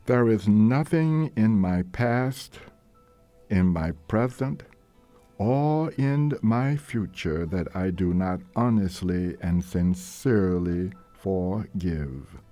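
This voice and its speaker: Very slow, mellow male meditation guide. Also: lowish voice. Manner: gentle, deliberate, measured phrasing with long pauses. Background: soft meditation music.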